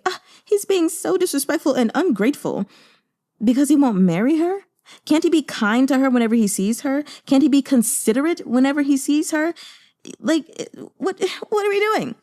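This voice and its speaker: A woman's voice: high-pitched, animated, normal speed, a little mellow. A woman hosting a book discussion in a casual, chatty style, speaking informally with slangy, opinionated and emphatic commentary.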